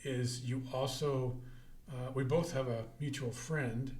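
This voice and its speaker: A man's voice: medium pitch, fairly even intonation, slow pace, a little mellow. A man hosting a podcast, asking questions in a teasing, jokey way. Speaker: podcast host. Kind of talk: casual interview chat. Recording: echoey room.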